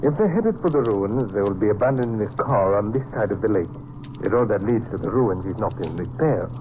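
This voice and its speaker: An actor playing a courteous, cautious Mexican police inspector in an old-time radio drama, speaking formally and carefully with a Mexican accent. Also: male voice, fairly low pitch, lively intonation, dark tone, natural voice. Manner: formal, measured, no contractions. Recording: noisy vintage radio recording.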